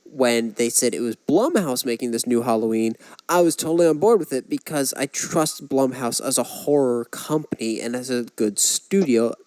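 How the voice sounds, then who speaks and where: A man's voice: mid-range voice, normal speed, hardly any pauses, darkish tone, dry recording. A man co-hosting a podcast, casually discussing films and giving his opinions with examples. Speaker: podcast co-host.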